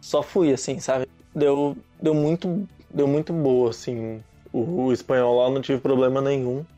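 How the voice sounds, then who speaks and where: A man's voice: medium pitch, fairly even intonation, measured pace, dark tone, soft background music. A young man, a guest in a casual chat, telling stories in an easygoing, slangy way. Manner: easygoing storyteller, slangy, laughs often.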